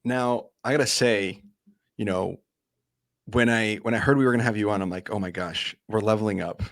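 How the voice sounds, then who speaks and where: A man with a fairly low voice rattles along at a quick clip as he hosts a podcast. He is eager and chatty, and he often restarts his sentences. The sound is dry and close. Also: dry recording. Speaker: podcast host. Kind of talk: casual podcast interview.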